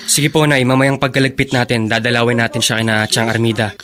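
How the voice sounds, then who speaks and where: A man's voice: medium pitch, level tone, fast talker, bright, forceful tone, natural voice. A man playing a respectful, worried young son in a Filipino radio drama. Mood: concerned.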